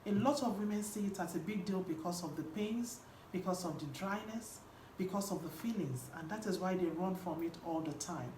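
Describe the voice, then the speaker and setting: A woman's voice: on the low side, normal speed, dark tone. A woman with a Nigerian accent giving a live online coaching talk as host, speaking emphatically and repeating key phrases. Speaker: host and women's health coach. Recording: roomy echo with faint background noise.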